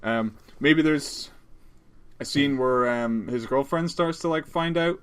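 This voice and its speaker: A man co-hosting a podcast in casual, comedic brainstorming chat, excitable and given to swearing. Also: mid-range voice, slow pace, smooth. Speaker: podcast co-host.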